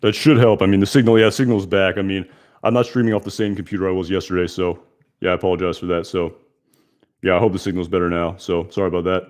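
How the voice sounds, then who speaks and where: Loud, rambling young male streamer. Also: low voice, steady tone, quick pace. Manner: rambling, many fillers and restarts. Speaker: YouTube reviewer hosting a live stream. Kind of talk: casual live-stream chat reading comments.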